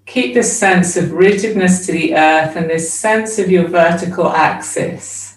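Slow-paced woman guiding meditation in a roomy space. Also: on the low side. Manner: measured, with long pauses between short phrases. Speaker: meditation teacher. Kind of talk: guided meditation, slow spoken instruction. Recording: roomy echo, distant microphone.

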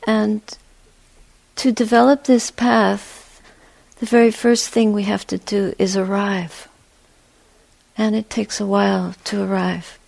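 A woman teaching a guided meditation in a gentle, measured way, with a soft, breathy voice and long pauses between short phrases. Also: medium pitch, little pitch movement, dry recording, rough recording.

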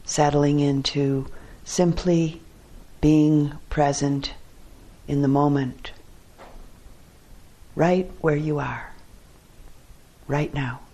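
A woman teaching guided meditation in a calm, measured way, with short phrases and long pauses between them. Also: low voice.

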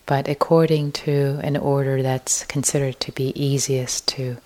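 A woman teacher guiding a meditation, speaking softly and gently with long pauses, sometimes sinking into a whisper. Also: extremely low pitch, flat delivery. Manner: slow, soft, gentle guidance with long pauses; drifts into an unvoiced whisper at times. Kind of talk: guided meditation.

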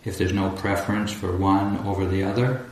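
A man guiding a meditation as a teacher, speaking calmly and deliberately in short phrases with long pauses. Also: low-pitched. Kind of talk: guided meditation instruction. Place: large echoey indoor room at a retreat. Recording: roomy echo, faint background noise.

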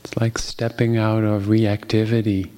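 A man guiding a meditation as a teacher, speaking gently and unhurriedly with long pauses. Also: on the low side, flat delivery. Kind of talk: guided meditation.

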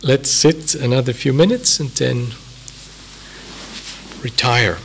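A man with a Swiss accent giving an unhurried, reflective talk as a meditation teacher, with many pauses and fillers. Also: medium pitch, gravelly, slight background noise. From Switzerland. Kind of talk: dharma talk at a retreat.

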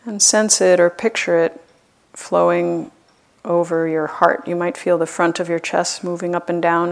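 A woman guides a meditation in a fairly low voice with a pronounced creak. Her delivery is gentle and unhurried. She leaves long pauses between short phrases, as a teacher leading a practice. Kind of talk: guided loving-kindness meditation.

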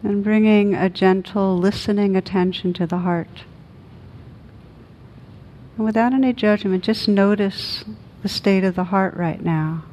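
A woman meditation teacher leading a guided meditation in a gentle, soothing manner with long pauses. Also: medium pitch, fairly even intonation, lo-fi sound, slight background noise.

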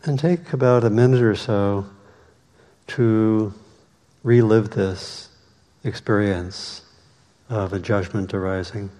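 Slow, low-voiced man guiding meditation. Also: mellow. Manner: measured, with long pauses. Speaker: meditation teacher. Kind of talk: guided meditation instruction. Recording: long reverberant hall echo.

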